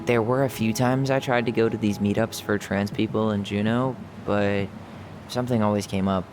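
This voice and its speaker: A man's voice: on the low side, steady tone, moderate pace, a little mellow, lightly acted. A man playing a guarded, stubborn young brother in understated, casual video game dialogue. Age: young adult. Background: game music.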